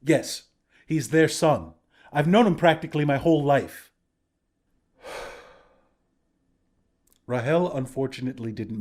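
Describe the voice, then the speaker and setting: A man's voice: medium pitch, darkish tone, natural voice. A man playing a battle-hardened resistance commander in a video game, plain-spoken, protective and reassuring. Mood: businesslike. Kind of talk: video game character voice lines.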